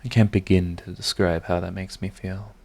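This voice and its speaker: Deep-voiced lovestruck villain played by a man. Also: little pitch movement, normal speed, gravelly, darkish tone. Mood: tender, relieved. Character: flustered, lovestruck male villain, proud yet tender. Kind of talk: romantic ASMR audio roleplay.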